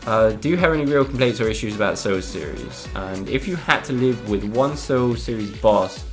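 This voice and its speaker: A young man from Spain, a gaming YouTuber, reads out viewer questions and answers them in a relaxed, hesitant way, with lots of ums and "I don't know". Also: lowish voice, moderate pace, soft background music.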